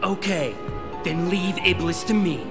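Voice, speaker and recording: A man's voice: high-pitched, measured pace, music in the background. A man voicing an earnest, hot-headed young hedgehog in a video game cutscene, youthful, intense and dramatic. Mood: startled, resolute.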